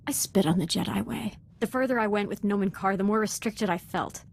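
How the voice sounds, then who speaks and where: Casting: young female dark-side apprentice for a game, deferential yet fervent, articulate delivery, mid-range voice. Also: mid-range voice, fairly quick, vocal fry. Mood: fervent, defiant. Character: young female Sith apprentice, devoted, fervent, darkly eager. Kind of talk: dramatic video game voice acting.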